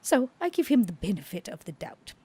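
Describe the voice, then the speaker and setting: A woman voicing a composed, guarded female vampire, speaking slowly and in a measured way, often half-whispered. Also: medium pitch, big pitch swings, dark tone, breathy edge.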